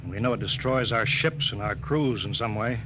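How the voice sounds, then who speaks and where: An actor playing a steady male spaceship captain in a 1950s radio drama, giving crisp, gruff commands, on an old hissy broadcast. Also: lowish voice, moderate pace, lightly acted. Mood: reasoned. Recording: muffled old radio broadcast, loud hiss.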